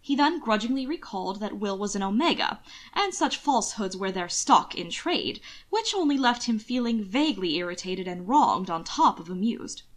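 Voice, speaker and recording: A woman's voice: medium pitch, sing-song, normal speed. A woman reading scripted fiction aloud as the narrator of an amateur audiobook.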